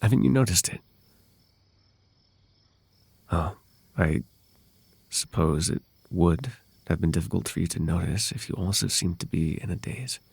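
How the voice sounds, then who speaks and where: A man playing a possessive, lovelorn fae royal heir in an intimate ASMR roleplay, with halting, tender delivery and formal, old-fashioned wording. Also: sits low, creaky, darkish tone, slightly rough, no echo, full character voice. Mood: tender, concerned.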